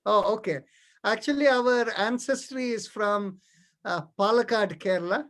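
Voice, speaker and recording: A man's voice: high-pitched, a little slow, fairly bright tone. A man, hosting a yoga class over a video call and talking casually, with many fillers and restarts. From India. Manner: hesitant, full of fillers and restarts. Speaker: yoga teacher and host.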